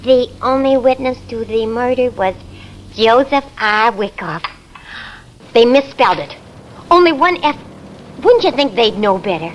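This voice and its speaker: High-voiced, girlish young wife. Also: lively intonation, a little slow, pauses often, highs cut off. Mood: excited, wondering. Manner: innocent, wide-eyed. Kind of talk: 1950s radio drama acting. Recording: noisy vintage radio recording.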